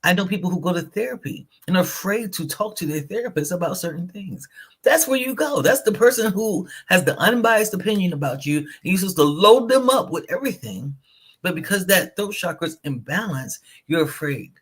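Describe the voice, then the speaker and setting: A woman with a slightly husky voice who co-hosts a live chat show. She tells stories with animation and drops into casual asides. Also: fairly low pitch, a little fast. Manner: lively storytelling, animated and chatty. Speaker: co-host of a spiritual live show.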